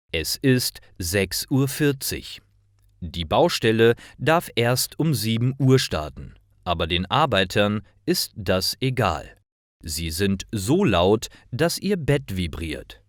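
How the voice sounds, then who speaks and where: A man reading short stories for language learners in a careful, measured, clearly enunciated scripted narration. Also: lowish voice, no room sound, clean studio sound.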